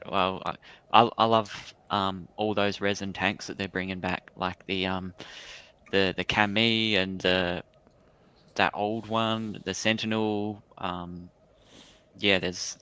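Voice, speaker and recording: A man with a low, bright and clean voice chats in a halting way. His pitch stays very even through casual talk. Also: clear, resonant tone. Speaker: panelist. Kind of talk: casual hobby chat.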